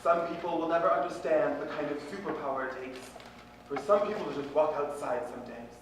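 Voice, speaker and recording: A man performing spoken-word poetry with impassioned, deliberate, earnest phrasing. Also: on the high side. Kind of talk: slam poetry recitation, dramatic and earnest. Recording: very echoey room, distant mic, faint noise.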